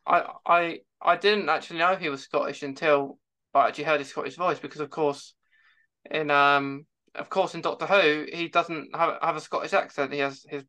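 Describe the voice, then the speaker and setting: A man hosting a casual fan chat as interviewer, speaking haltingly with repeated words and false starts. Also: fairly high pitch, fairly even intonation, bright, forceful tone. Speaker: podcast host interviewing a guest.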